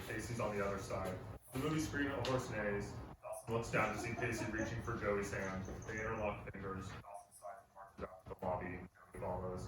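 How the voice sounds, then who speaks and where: Low-voiced man narrating, very echoey room. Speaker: stage-direction reader. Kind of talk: scripted narration at a live table read. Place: live reading in a performance room. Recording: very echoey, loud noise, distant mic.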